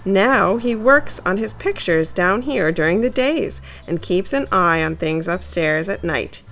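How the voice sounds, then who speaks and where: A woman's voice: mid-range voice, lively intonation, a little slow, a bit bright. A woman voicing a formal, welcoming male mole who guards a museum in a storybook.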